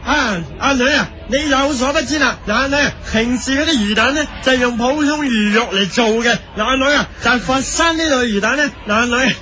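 A man's voice: very high voice, brisk, hardly any pauses, pushed and bright. A man playing a gluttonous, self-satisfied food show host in a Hong Kong Cantonese comedy radio drama, explaining eagerly with a repetitive verbal tic. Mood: enthusiastic. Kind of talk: parody radio drama performance.

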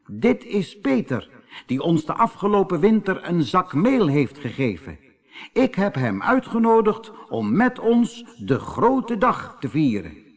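A man voicing a cheerful little mountain gnome in a storybook, with a hearty, excited way of talking. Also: high-pitched, unhurried, pitched above the performer's own voice, full character voice. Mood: proclaiming.

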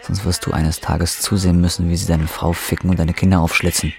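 A man's voice: low-pitched, flat delivery, brisk, gravelly. An actor playing a bitter, defiant, broke injured roofer in his twenties, in a German radio drama. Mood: dark, brooding. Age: 20s. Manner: embittered, colloquial, confrontational. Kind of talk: German radio drama acting and brooding first-person narration.

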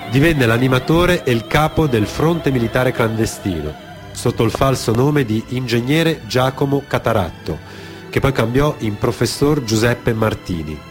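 A man reading a scripted radio theatre narration in a grave, measured, declamatory manner. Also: mid-range voice.

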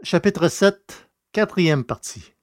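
A man reading a novel aloud in measured, deliberate narration. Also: high voice, lively intonation. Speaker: audiobook reader. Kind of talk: scripted narration of a science-fiction novel.

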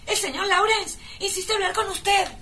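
A woman playing a prim secretary with a squeaky, singsong voice in a comic radio play. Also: fairly even intonation, normal speed, sharp tone, full character voice. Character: shrill, prim female secretary to a ruthless boss.

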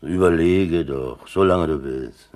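A man's voice: extremely low pitch, slow pace, a little mellow. A man acting in a radio play as a talkative, boastful middle-aged sea captain who teaches chess and tells leisurely anecdotes. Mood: patient, chatty. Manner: chatty, boastful, chuckling raconteur.

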